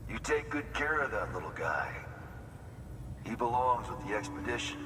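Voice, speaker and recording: An actor playing a gruff, folksy outpost worker in a video game, speaking plainly and bluntly. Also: male voice, medium pitch, big pitch swings, moderate pace, lightly acted. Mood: somber, resigned. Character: gruff, folksy grown man working a frontier outpost. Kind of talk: video game cutscene acting. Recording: roomy echoing hall, distant mic, ambient noise.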